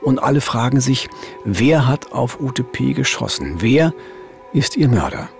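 A man's voice: mid-range voice, very animated, unhurried, creaky, music in the background. A man in his fifties narrating a crime case as a reporter in scripted dialogue at a studio microphone. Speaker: true-crime reporter and podcast co-host. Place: small dark studio. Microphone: studio boom microphone, headphones.

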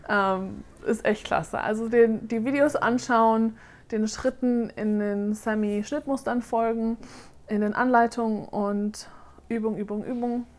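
A woman in her thirties from Germany, chatting casually on camera in a question-and-answer vlog and often pausing with fillers as she searches for words. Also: fairly high pitch, fairly even intonation. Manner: chatty, hesitant with frequent fillers. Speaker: doll-making vlogger. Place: living room, seated on a sofa.

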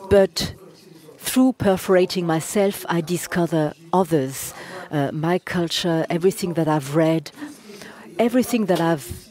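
A conference interpreter giving a simultaneous rendering of audience remarks, pausing often with fillers and restarting phrases. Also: medium pitch, darkish tone. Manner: hesitant, frequent uh fillers and restarts.

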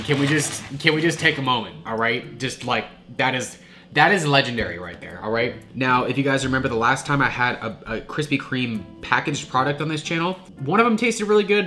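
Man in his thirties, congested and nasal, casual food-vlog chatter at the kitchen table over music. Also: medium pitch. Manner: chatty, animated, with frequent restarts. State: nasal from a cold. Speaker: food vlogger. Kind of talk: casual snack review to camera. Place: home kitchen, indoors.

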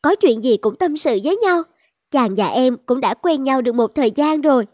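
A woman playing a young well-born maiden in an old-time animated fairy tale. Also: sits high, normal speed, no echo, clean studio sound. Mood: affectionate.

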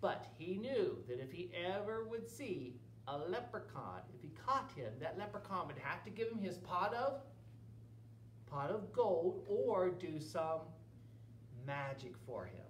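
A middle-aged man telling a children's story in an animated way. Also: high voice, measured pace. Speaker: library storyteller. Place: small indoor room at a library. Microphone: camera a few feet away. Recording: roomy, distant camera mic, faint hum.

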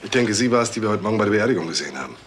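A man playing a calm, shrewd police detective in a crime radio drama, measured, terse and commanding. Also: on the low side, lightly acted. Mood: brisk, commanding. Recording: clearly noisy track with clicks.

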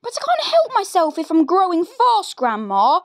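A woman's voice: very high voice, wildly swooping pitch, normal speed, no echo, studio quality, pitched above the performer's own voice, heavily acted. A woman voicing a polite eight-year-old boy who pleads and protests in a read-aloud story. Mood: pleading.

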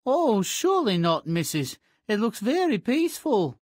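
Lively, cheeky peasant boy. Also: on the high side, moderate pace, no echo, studio quality. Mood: lazy, cheeky. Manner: cheeky, nonchalant. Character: lazy, cheeky, hungry young peasant boy. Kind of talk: video game character acting.

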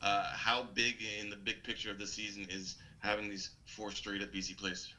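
A man asking a player questions at a press conference in a casual, halting way. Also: lowish voice, level tone, pushed and bright, slight background noise, low-quality recording. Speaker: sports reporter.

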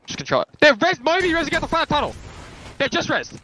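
A bright, very quick-talking man leads his gaming squad with commanding, repeated orders and swearing. Faint gunfire and game noise sit behind his voice. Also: very high-pitched, wildly swooping pitch. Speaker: esports player calling team strategy. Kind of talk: rapid in-game team callouts. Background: shooter game gunfire and effects.

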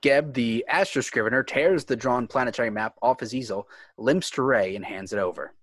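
A man's voice: medium pitch, normal speed, dry recording, clean studio sound. A man reading scripted screenplay narration, describing scenes and action. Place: indoors on a home video call.

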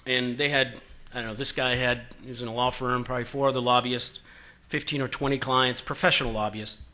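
A man's voice: mid-range voice, fairly even intonation, brisk, bright, forceful tone. A man in his forties from Boston gives a talk at a conference podium, telling stories in a conversational way with many fillers and acting out quoted exchanges. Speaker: conference speaker. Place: conference room. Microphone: podium microphone.

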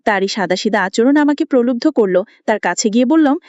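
A woman's voice: a little high, lively intonation, brisk, a little mellow, dry recording, highs cut off. A woman reading a scripted romantic story aloud in the first person, as an audio story narrator.

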